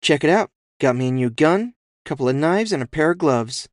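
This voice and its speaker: A man's voice: fairly high pitch, expressive, normal speed, dry recording, clean studio sound, pitched above the performer's own voice, natural voice. A man voicing a cocky, playful, flirtatious female fighter in a cyberpunk audio story. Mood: pleased. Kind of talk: audio fiction character voice.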